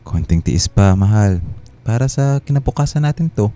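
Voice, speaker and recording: A man's voice: fairly low pitch, lively intonation, moderate pace, noisy background, natural voice. An actor playing a tired, dutiful husband and father in a Filipino radio drama, earnest and a little hesitant, with a Filipino accent. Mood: tired, evasive. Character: tired, dutiful working husband and father, grateful, somewhat evasive.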